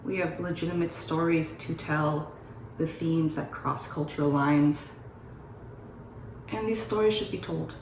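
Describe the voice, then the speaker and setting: A woman's voice: low voice, fairly even intonation, unhurried, darkish tone, distant mic, a little hiss or hum. Earnest woman in a roomy space. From Juneau, Alaska, United States. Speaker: actor introducing herself. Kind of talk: casual self-introduction. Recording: roomy, from off-mic.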